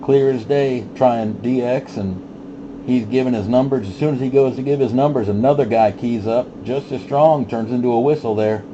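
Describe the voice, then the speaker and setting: A man's voice: mid-range voice, normal speed. A man talking casually and laid-back in CB radio lingo, as an operator hosting a channel night. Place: home base radio station. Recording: noisy, dull CB radio audio with static hum.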